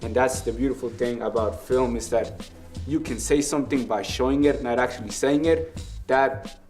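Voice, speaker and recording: A man's voice: mid-range voice, moderate pace, darkish tone, faint music behind. A young man with a Mexican accent hosting a casual film review, earnest and analytical about the film he loves. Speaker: film review show host.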